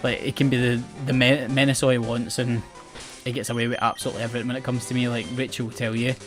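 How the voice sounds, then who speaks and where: A man's voice: mid-range voice, little pitch movement, quick pace. A young man with a west coast Scottish accent, chatting casually about the game he is playing and about his life, rambling and self-mocking. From Scotland. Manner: chatty, rambling, self-mocking, often restarts words and laughs. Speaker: gaming channel host. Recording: game music playing faintly behind.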